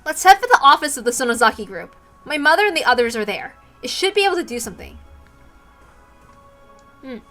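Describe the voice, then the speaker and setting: A woman's voice: sits high, very animated, brisk, long pauses, pushed and bright, faint music behind, heavily acted. A woman voicing anxious young characters from an anime visual novel in urgent, earnest dialogue. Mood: tense, determined.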